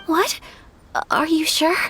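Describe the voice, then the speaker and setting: A woman playing a polite, gentle young noblewoman in a video game, formal, hesitant and earnest. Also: very high voice, fairly even intonation, takes it slowly, faint music behind, exaggerated voice. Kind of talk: animated video game acting.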